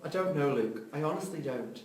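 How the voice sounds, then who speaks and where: A man's voice: on the high side, animated, a little fast, darkish tone, close to an everyday voice. A man in an amateur drama reading, playing a defensive, remorseful young man who pleads with exasperation. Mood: subdued. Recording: roomy, distant mic, faint noise.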